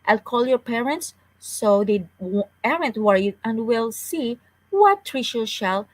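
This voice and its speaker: Woman playing storybook father, calm, reassuring, practical, fairly high voice, measured pace. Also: low-quality recording.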